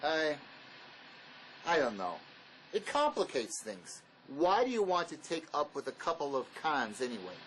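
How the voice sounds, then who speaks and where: A man's voice: a little high, sing-song, measured pace, a bit bright, poor audio. An actor playing a cynical, impatient male ex-con in a drama, with a curt, needling, tough-talking manner. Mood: cynical, uneasy. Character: hard-edged, impatient ex-con man, cynical and resourceful. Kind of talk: dramatic script performance. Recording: faint background noise.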